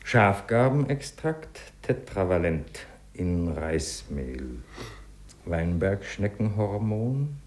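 Animated low-pitched doctor. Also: male voice. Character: eccentric, brisk, self-assured male doctor. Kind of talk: 1950s German radio play acting.

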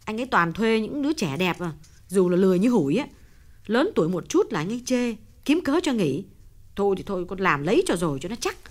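An anxious young wife, high falsetto, rapid fretful talk. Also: male voice, big pitch swings. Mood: complaining. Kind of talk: audiobook character voice.

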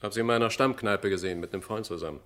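A man's voice: lowish voice, racing, fairly bright tone, lightly acted. Blunt, offhand pub regular played by a man. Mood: offhand, assured. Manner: offhand, colloquial, curt. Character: casual male pub regular, grudging, wary, blunt. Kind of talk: German radio crime drama acting.

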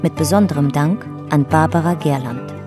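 A woman announcer reading scripted titles and credits for a radio drama in a measured, factual way. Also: low voice, over music.

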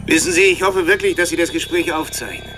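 An actor in a radio drama playing a taunting, intellectual serial killer, with a mocking, theatrical way of drawing out phrases. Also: male voice, on the high side, over music, close to an everyday voice. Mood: mocking politeness. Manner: mocking, theatrical, mannered, teasing, drawn-out phrasing.

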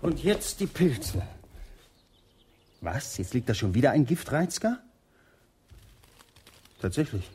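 An actor playing a courteous, dutiful butler in a German radio drama, speaking formally and deferentially. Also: male voice, medium pitch, sing-song, takes it slowly, long pauses, a little mellow, a little hiss or hum. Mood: puzzled muttering.

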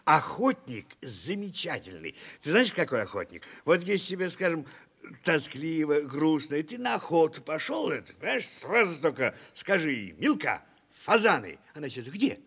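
Boastful tipsy lieutenant, husky, highish voice, swooping intonation, doting, rambling, theatrical radio drama. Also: male voice, sing-song, moderate pace, fairly bright tone, exaggerated voice. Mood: sentimental, bragging. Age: middle-aged. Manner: coaxing, rambling, repeats himself emphatically. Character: tipsy, boastful middle-aged army lieutenant doting on his dog. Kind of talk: theatrical radio play of classic prose.

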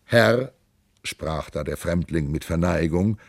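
A man narrating a classic novel as an audiobook, in a measured storytelling manner with old-fashioned diction. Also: low-pitched, a little mellow, no echo, studio quality.

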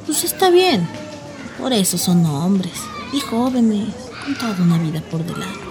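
A woman's voice: mid-range voice, big pitch swings, unhurried, a crowd in the background. An actress playing a Mexican small-town woman left behind by departing men in a radio drama. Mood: gossipy. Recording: music behind the voice.

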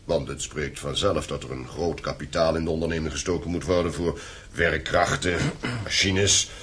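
A stern landowning patriarch in a radio play. His voice is very deep and heavily husky, and he speaks with formal, domineering command. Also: fairly even intonation, moderate pace, fairly bright tone, heavily acted. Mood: grave, purposeful.

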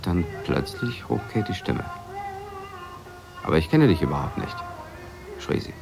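An actor playing a worried husband in a radio drama, recounting events in a measured, confiding way. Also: male voice, sits low, sing-song, creaky, full character voice. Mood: reflective, concerned. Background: music.

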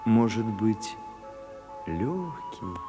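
Radio drama role: languid, melancholy aesthete with dry irony, deepish voice, drawn-out deliberate phrasing, music behind. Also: male voice, big pitch swings, exaggerated voice. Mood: languid, ironic. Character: Oscar Wilde, weary, witty, melancholy aesthete. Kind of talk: slow theatrical radio drama acting.

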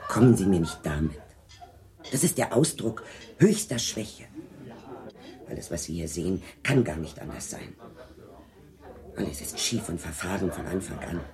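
An actress in a German radio drama playing a prim, indignant, moralizing gentlewoman with a sharp-tongued, emphatic manner. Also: extremely low pitch, expressive, normal speed, long pauses.

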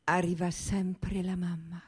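A woman's voice: on the low side, little pitch movement, slow. An actress playing a woman fondly recalling theatre days in scripted radio narration. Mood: fond recollection. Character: reminiscing woman, a fond witness of theatre days. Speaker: stage performer narrating theatre memories. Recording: clear music bed behind the voice. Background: music.

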